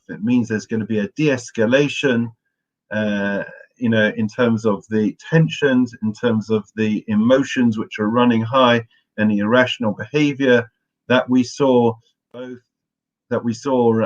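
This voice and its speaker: Hoarse man giving a community talk. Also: mid-range voice. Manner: warm, chatty, addresses listeners by name. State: hoarse, losing his voice. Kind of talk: morning learning talk to a congregation. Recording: muffled lo-fi audio.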